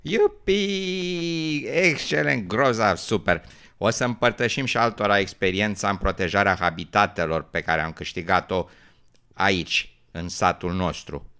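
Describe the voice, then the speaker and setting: A kind, earnest newt with dreams of travel, acted by a man in an educational radio drama. The voice sits low and has a gravelly creak. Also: pushed and bright. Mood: excited.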